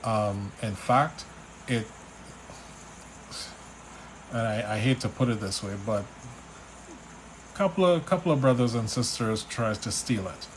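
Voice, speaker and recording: A man from Trinidad with a Trinidadian accent, a spiritual reader on a live stream, relaxed and chatty as he reads out viewers' questions. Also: lowish voice, measured pace, pauses often, a little hiss or hum, rough recording. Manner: relaxed, chatty, reads names and questions aloud, restarts often. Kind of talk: live stream reading, answering chat questions.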